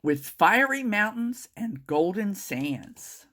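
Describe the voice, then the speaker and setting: A woman reading a children's picture book aloud in an expressive, drawn-out storytelling manner. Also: mid-range voice. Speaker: storytime reader.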